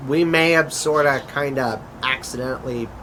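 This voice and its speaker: A man acting a chatty interdimensional adventurer in a conversational audio drama, with a halting, hesitant delivery. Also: mid-range voice, a bit bright, slight background noise, natural voice, rough recording. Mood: awkward, explaining.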